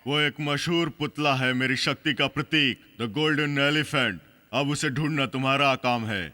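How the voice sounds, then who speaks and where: A man's voice: mid-range voice, fairly even intonation, measured pace, bright, forceful tone, in a large hall. A man voicing a solemn, commanding king in a cartoon dub. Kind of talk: Hindi cartoon dub acting.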